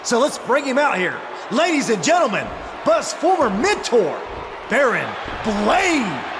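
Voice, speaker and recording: A man's voice: extremely high pitch, huge pitch swings, measured pace, heavily acted. An actor playing an arrogant, scheming wrestler, a man giving a goading, theatrical promo to an arena in a wrestling game. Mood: showy announcing. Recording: echoey arena, clear background noise.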